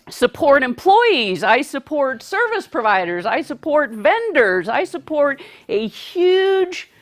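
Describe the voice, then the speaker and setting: A woman addresses a seminar audience in an unhurried, deliberate way. She projects her voice to reach the back of the room and keeps asking the listeners questions. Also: high voice. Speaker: speaker. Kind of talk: seminar talk to a live audience.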